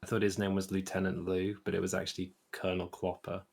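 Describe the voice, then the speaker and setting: Male co-host, very creaky and husky, mock-earnest, understated casual conversation. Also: deep voice, completely flat delivery, fast talker, darkish tone. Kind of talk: casual chat.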